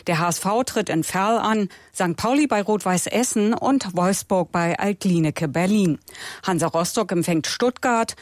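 A woman reading radio sports news briskly and clearly. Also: lowish voice, darkish tone, no room sound, studio quality. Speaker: sports reporter.

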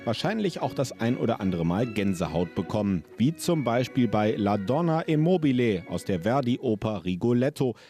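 A male reporter reads a scripted radio feature in a smooth, steady manner. His voice is mellow and warm. Soft music plays faintly behind him. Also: medium pitch, normal speed, hardly any pauses. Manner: smooth, read-out narration.

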